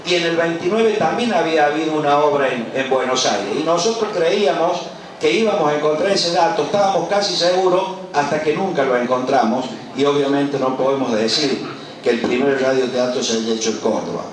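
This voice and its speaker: A man's voice: fairly high pitch, fairly quick. A middle-aged or older Argentine man, an author and professor, giving an informal public speech at a book presentation, with an emphatic manner and rhetorical questions. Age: middle-aged or older, over 50. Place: large colonial patio at a public event. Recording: echoey, distant, noisy live event recording.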